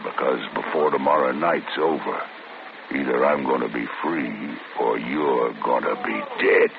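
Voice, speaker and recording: A menacing old convict. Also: male voice, a little slow, creaky, exaggerated voice. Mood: threatening. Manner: low, bullying, coaxing then threatening. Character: menacing older male convict, scheming and threatening. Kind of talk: 1940s radio drama acting. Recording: tinny old radio broadcast with hiss.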